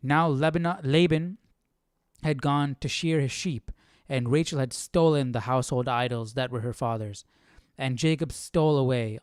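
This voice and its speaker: A man's voice: mid-range voice, smooth, no room sound, studio quality. A man hosting a Bible study, reading aloud and commenting casually as he thinks aloud. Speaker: host of a live Bible study.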